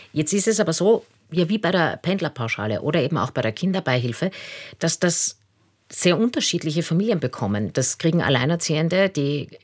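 A woman's voice: low-pitched, slightly rough. A woman moderating a live radio phone-in discussion as its host. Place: radio studio.

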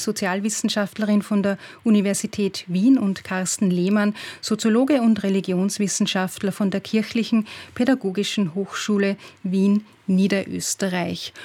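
A woman's voice: mid-range voice, a little mellow. Woman moderating a radio talk show. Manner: measured, clear, formal. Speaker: radio host.

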